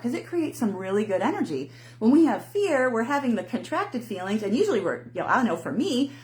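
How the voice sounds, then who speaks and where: A middle-aged woman hosting a casual live talk, speaking to her viewers in an animated way. She laughs often and acts out the inner voices of fear. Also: on the high side. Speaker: host, intuition coach.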